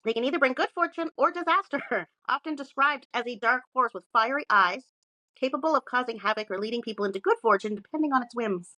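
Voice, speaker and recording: A woman's voice: on the high side, fast talker, sharp tone, no echo, low-quality recording. A woman co-hosting a podcast, partly reading from notes and chatting casually, with sweary, self-mocking asides. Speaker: podcast co-host presenting research.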